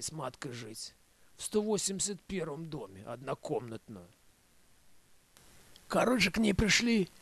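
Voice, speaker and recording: A man's voice: a little high, vocal fry, no room sound. A man performing a rambling first-person monologue as a coarse, hard-drinking storyteller, in profane street slang. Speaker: author-performer of a one-man audio play.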